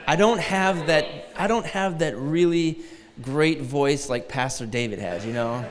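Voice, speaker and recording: A man's voice: on the high side, unhurried. Male guest preacher, forties, thin light voice, self-mocking humor, reverberant hall. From Detroit. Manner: self-deprecating and joking, light nervous laughs. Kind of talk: sermon. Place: large church hall.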